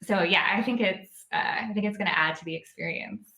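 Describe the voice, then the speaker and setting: A woman's voice: medium pitch, fairly quick, pushed and bright. A woman speaking as a guest writer in a casual interview, informal and a little hesitant, in an echoey room.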